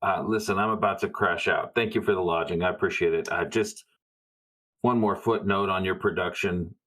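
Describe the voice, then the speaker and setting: A man's voice: deep voice, steady tone, normal speed, a bit bright. A man playing a tabletop game, speaking in character casually with wry, joking delivery. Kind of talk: casual improvised role-play chat.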